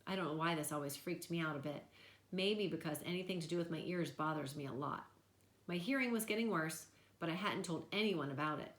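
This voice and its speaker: A woman giving an expressive read-aloud of a children's novel. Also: deep voice, fairly quick, roomy sound.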